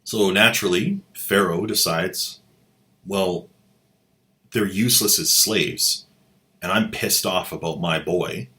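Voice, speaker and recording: A man's voice: low-pitched, slow pace, pushed and bright. A man hosting a video, giving mocking, irreverent commentary on an old audio drama. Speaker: channel host and commentator. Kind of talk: sarcastic commentary.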